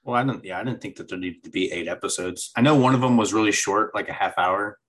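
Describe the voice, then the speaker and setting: A man's voice: fairly low pitch, fast, fairly bright tone. A man co-hosting a podcast, chatting casually about a TV show and restarting his sentences often. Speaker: podcast co-host. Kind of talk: casual chat.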